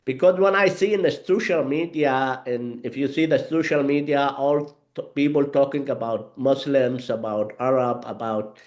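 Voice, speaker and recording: A man from Yemen, a guest speaker whose first language is Arabic, talking over a video call in halting English full of fillers and restarts. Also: mid-range voice, steady tone, darkish tone.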